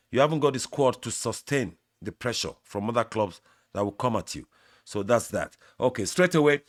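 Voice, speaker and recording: Nigerian man, Yoruba native, sports channel host, mid-pitched voice, dry close sound, measured opinionated talk. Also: dry recording. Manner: opinionated, measured, talks straight to fans. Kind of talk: football opinion talk to camera.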